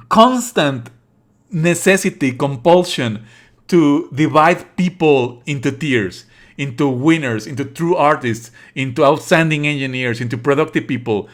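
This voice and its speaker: A man doing live let's play commentary as a streamer, sardonic and indignant, swearing freely and at times reading game lines aloud. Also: fairly high pitch.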